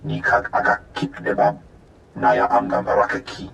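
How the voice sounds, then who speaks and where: A low, heavily creaking alien analyst in a video game. He speaks a guttural invented tongue in short clipped phrases, with faint noise behind him. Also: completely flat delivery, moderate pace, a bit bright. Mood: businesslike. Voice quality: gravelly, creaky.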